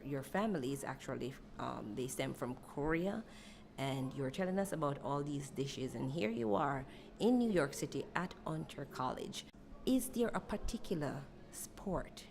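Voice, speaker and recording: Low-voiced woman asking long interview questions. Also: creaky, darkish tone, slight background noise. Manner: probing, meandering questions. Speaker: student interviewer. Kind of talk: class interview.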